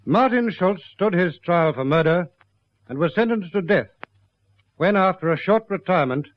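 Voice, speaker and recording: Grave, higher-pitched male radio narrator. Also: expressive, no room sound. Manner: grave, measured, emphatic. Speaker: narrator of a police drama series. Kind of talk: formal scripted 1950s-style radio narration. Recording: dry, muffled vintage radio recording.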